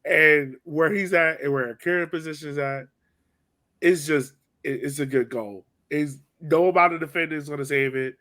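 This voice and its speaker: A man's voice: fairly high pitch, moderate pace, pushed and bright. Man chatting casually about sports. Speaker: podcast host. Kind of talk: casual sports podcast chat, match recap.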